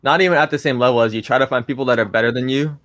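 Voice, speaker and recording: A man's voice: mid-range voice, racing, a bit bright, no room sound, clean studio sound. A man in his twenties, a podcast guest chatting casually, rambling a little with restarts and fillers. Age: 20s.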